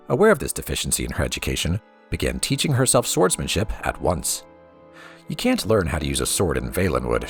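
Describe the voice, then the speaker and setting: A man reading a fantasy book aloud as expressive storybook narration over music. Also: deep voice. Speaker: let's play host reading in-game books aloud.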